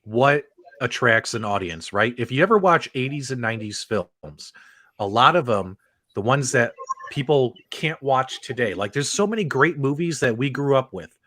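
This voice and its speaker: A man's voice: medium pitch, animated, normal speed, sharp tone, dry recording. Rambling man hosting a live stream. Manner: loose, rambling, asks the others questions. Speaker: podcast host. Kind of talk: casual live-stream chat.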